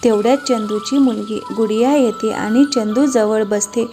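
A woman narrates a children's cartoon tale in a scripted storybook style. Her voice is fairly high, with faint music underneath. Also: fairly even intonation, moderate pace. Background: light background music.

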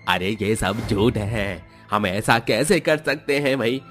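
A man's voice: fairly low pitch, lively intonation, slow, fairly bright tone, faint music behind. A man voicing a smug, scornful shopkeeper ringleader in a village cartoon story, speaking emphatically. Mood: defensive. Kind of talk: Hindi cartoon story acting.

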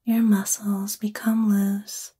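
A woman with a soft, breathy, whispery voice gently and soothingly guides a sleep meditation in an ASMR-like style. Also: mid-range voice, little pitch movement. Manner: gentle, soothing, unhurried phrasing.

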